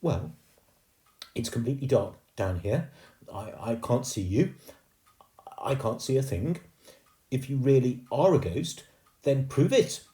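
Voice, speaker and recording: A man's voice: mid-range voice, animated, measured pace, long pauses, mellow, roomy sound, voice pushed up, close to an everyday voice. A man voicing a posh, prim young girl who is haughty and indignant. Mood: defiant.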